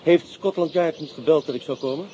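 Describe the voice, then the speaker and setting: An actor playing a brisk, wry police inspector with a Dutch accent in a radio drama. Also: male voice, fairly high pitch, mellow, close to an everyday voice. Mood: polite, dry. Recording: dull, noisy radio play recording.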